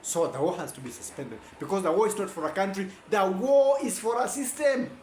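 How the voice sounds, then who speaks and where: A man's voice: sits high, brisk, darkish tone, reverberant, a little hiss or hum. A man giving a rambling, self-correcting informal lecture.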